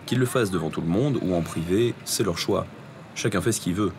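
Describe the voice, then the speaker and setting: A man's voice: deep voice, fairly quick, noisy background. A man giving his opinion to a reporter at an outdoor event, plain and matter-of-fact. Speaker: interviewee.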